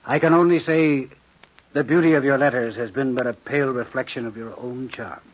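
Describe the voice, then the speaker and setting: A man acts out a living shadow passing as a prince in a vintage radio horror play. His husky voice is suave and courtly with a cunning, sinister edge. The recording is old and dull. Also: medium pitch, a little fast, natural voice. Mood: courtly charm. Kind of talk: old-time radio drama acting.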